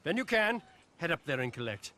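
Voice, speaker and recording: An actor playing a cold, businesslike moneylender in a Western game, with a clipped, callous manner. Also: male voice, a little high, sing-song, fairly quick, bright, forceful tone, a little hiss or hum. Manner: clipped, matter-of-fact, callous. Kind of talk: game cutscene acting, Old West dialogue.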